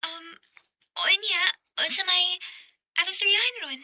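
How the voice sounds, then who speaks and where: A woman's voice: very high-pitched, fairly even intonation, measured pace, sharp tone, no room sound, heavily acted. A woman playing a sweet, coy young woman being courted in a radio drama, with a girlish manner and a Cebuano Bisaya accent. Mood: shy, evasive.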